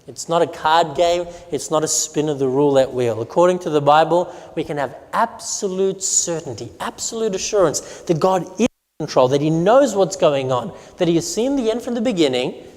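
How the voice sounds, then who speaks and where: A man's voice: a little high, expressive, moderate pace, darkish tone. A man from Romania, a pastor, giving a sermon presentation, telling stories and asking the audience questions. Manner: storytelling, asks the audience questions. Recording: long hall reverb.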